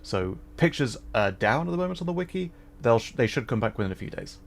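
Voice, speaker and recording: A man's voice: medium pitch, sing-song, fast talker, vocal fry. A man hosting a gaming livestream, chatting casually in a polite, hesitant way. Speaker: livestream host, VTuber. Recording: faint background noise.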